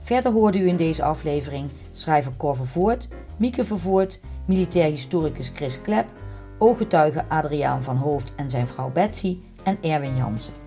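A woman's voice: low-pitched, animated, moderate pace, warm tone, soft background music. A woman presenting a podcast as scripted documentary narration.

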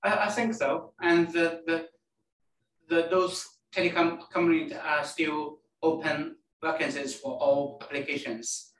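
A man from China, a native Chinese speaker, answering interview questions in halting English that repeats words. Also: a little high, fairly even intonation, a bit bright. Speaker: guest, telecom network planner. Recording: roomy, distant mic.

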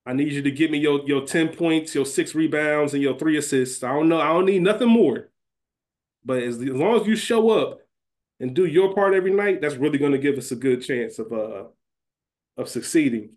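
A man's voice: on the high side, brisk, darkish tone. Casual, slangy man podcasting. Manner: informal, slangy, with some swearing. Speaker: podcast co-host. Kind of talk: casual chat; fantasy draft discussion of a TV show.

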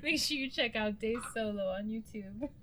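A woman co-hosting a podcast in relaxed, informal conversation. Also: on the high side, normal speed, a little hiss or hum. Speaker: podcast co-host. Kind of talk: casual chat.

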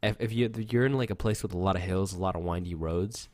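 A man's voice: low-pitched, quick pace, darkish tone, no room sound. A young man co-hosting a podcast, telling loose, rambling stories full of fillers and swearing, with a voice that sounds youthful. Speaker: podcast co-host. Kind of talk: casual chat.

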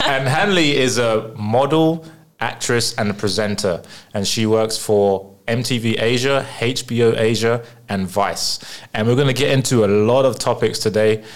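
A man hosting a podcast interview, with a voice that sits in a middle range. He asks questions in a friendly, relaxed way and laughs easily, nodding along with short "yeah"s. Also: mid-range voice, measured pace. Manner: friendly, laughs often, brief affirmations. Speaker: podcast host. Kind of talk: casual interview.

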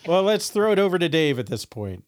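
A man's voice: on the high side, big pitch swings, fairly quick. A man in his fifties hosting a podcast in casual, joking conversation. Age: 50s. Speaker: podcast host.

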